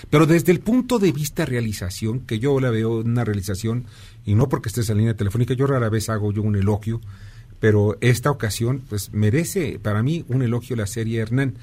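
A man's voice: mid-range voice, fairly quick, darkish tone. A man hosting a radio talk show, effusive and prone to long, rambling questions. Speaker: radio host.

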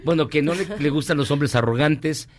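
A man's voice: fairly high pitch, fast. A Mexican man, a native Spanish speaker, hosting and interpreting a casual, playful interview with joking banter. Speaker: radio show host and interpreter.

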